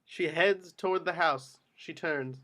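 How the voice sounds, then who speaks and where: Higher-voiced man reading screenplay directions. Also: measured pace, bright, forceful tone. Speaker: stage-direction narrator. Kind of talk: scripted narration at a table read.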